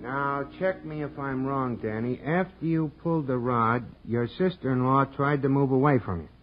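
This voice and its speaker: An actor playing an impatient, stubborn American police sergeant in a 1940s radio crime drama, with slangy, sarcastic talk. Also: male voice, mid-range voice, normal speed, soft background music, close to an everyday voice. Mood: businesslike, impatient. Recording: dull, lo-fi old radio recording.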